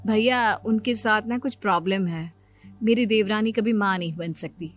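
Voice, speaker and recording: A woman's voice: medium pitch, normal speed, soft background music, lightly acted. A woman playing an insistent, scheming sister-in-law and mother in a dramatised moral story. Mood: hesitant, polite.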